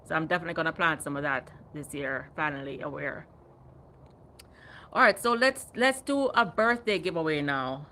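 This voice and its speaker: A woman's voice: low voice, moderate pace, sharp tone. A woman in her fifties hosting a casual gardening livestream, chatting animatedly and reading out viewer comments. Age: 50s. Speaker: gardening channel host.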